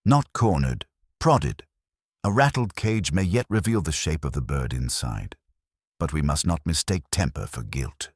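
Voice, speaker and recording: A man voicing a mild, shrewd police inspector in a mystery story, gentle, polite and quietly probing. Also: rumbling low, lively intonation, measured pace, slightly rough, no room sound, clean studio sound, heavily acted. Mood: quietly pointed.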